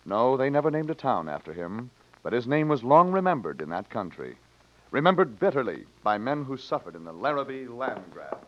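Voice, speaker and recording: A man's voice: medium pitch, big pitch swings, fairly quick, no echo, natural voice. A man narrating a western adventure in a grand, dramatic old-time radio announcer style. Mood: grave, dramatic. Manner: grand, theatrical. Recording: muffled old radio recording.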